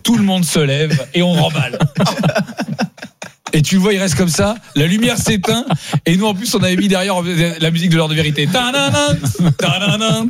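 A man hosting a radio show, speaking in casual slang with bantering, animated storytelling. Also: high voice, vocal fry, dry recording. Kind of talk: casual bantering talk and comic anecdotes.

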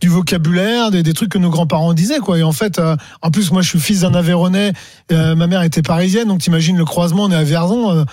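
Male panellist, high-pitched and extremely quick, casual slangy delivery. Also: darkish tone, no echo, clean studio sound. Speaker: radio panellist.

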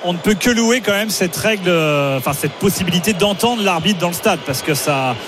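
A man's voice: sits high, expressive, moderate pace. A man calling a football match live on the radio, chatty and weighing each call with fillers. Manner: chatty, hedging opinions with fillers. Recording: radio broadcast with music behind.

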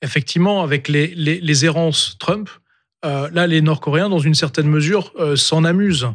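A man giving a deliberate account as a guest on a TV news panel, with some restarts. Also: fairly high pitch. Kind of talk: TV panel debate.

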